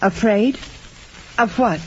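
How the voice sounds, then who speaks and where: A woman's voice: a little high, slow. A woman playing an anxious, devoted wife in melodramatic old-time radio drama style. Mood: eager, concerned. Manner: earnest, melodramatic. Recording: dull, noisy old radio recording.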